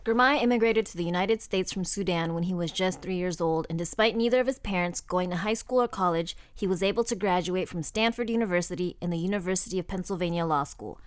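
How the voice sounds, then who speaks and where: Brisk, quick-talking woman co-host. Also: on the low side, no echo, studio quality. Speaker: podcast co-host. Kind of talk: scripted podcast intro reading.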